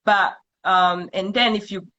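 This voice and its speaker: A woman's voice: medium pitch, fairly even intonation, measured pace, pushed and bright, highs cut off. A woman with a Rwandan accent, a mental health professional explaining things conversationally as a guest. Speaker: psychiatric nurse practitioner, guest.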